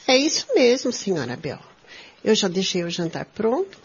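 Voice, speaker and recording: A woman's voice: medium pitch, big pitch swings, moderate pace, slightly rough. A woman playing a dutiful, courteous household companion in a radio drama. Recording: dull recording, faint music behind.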